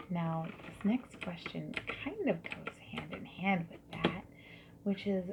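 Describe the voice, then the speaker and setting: A woman speaking softly and breathily, often dropping to a whisper, in a gentle, friendly ASMR survey-interviewer roleplay. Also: on the low side. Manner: gentle, friendly, unhurried customer-service politeness. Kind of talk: soft-spoken ASMR roleplay. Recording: lo-fi, noisy with keyboard typing and clicks. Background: mechanical keyboard typing and clicks.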